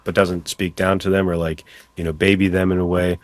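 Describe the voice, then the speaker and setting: A man's voice: sits low, level tone, brisk, no echo. A man hosting a podcast, chatting casually and with enthusiasm about books. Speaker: podcast host. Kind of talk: casual podcast interview chat.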